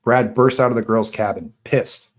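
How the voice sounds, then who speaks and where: A man with a fairly low voice narrates a screenplay at a table read. He reads the action in an informal, animated style. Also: moderate pace. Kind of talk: reading screenplay action and dialogue aloud.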